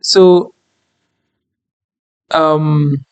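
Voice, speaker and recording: A higher-pitched man whose first language is French, explaining a data tool step by step at an unhurried pace. He keeps asking "okay?" and "right?" as he goes, and his voice sounds close and dry, with no room echo. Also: fairly even intonation, clean tone, lo-fi sound. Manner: explanatory, frequent "okay?" and "right?" check-ins. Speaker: tutorial instructor. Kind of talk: screen-recorded software tutorial.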